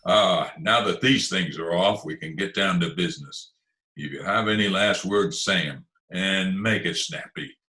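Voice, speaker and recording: A man's voice: low-pitched, a little slow, pushed and bright. A man playing a tough, curt gangster boss in a 1940s-style radio crime comedy, sneering, slangy and commanding. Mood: cold menace. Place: remote video-call performance.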